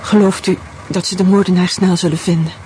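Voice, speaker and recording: An actress playing the shaken secretary of a murder victim in a radio play, speaking in a polite, shaken way. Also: female voice, lowish voice, steady tone, brisk, mellow, a little hiss or hum, close to an everyday voice.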